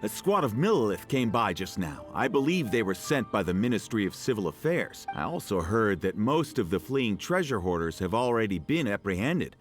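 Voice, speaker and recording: An actor playing an earnest, dutiful town guard in a video game, polite, formal and reassuring. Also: male voice, lowish voice, big pitch swings, normal speed, hardly any pauses, faint music behind. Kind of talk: video game story dialogue.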